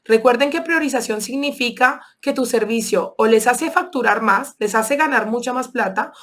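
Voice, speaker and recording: A woman's voice: fairly high pitch, normal speed, a bit bright. A woman from Colombia with a Colombian accent, teaching an online sales course and walking through examples step by step with frequent fillers. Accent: Colombian Spanish. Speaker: sales instructor.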